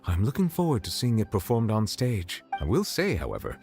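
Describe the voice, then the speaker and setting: A dignified official in a video game. His voice is deep, and he speaks in polite, formal, measured sentences. Music plays behind him. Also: huge pitch swings, creaky, darkish tone, exaggerated voice. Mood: courteous, composed. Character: dignified, courteous, formal gentleman of high office. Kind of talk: scripted game dialogue.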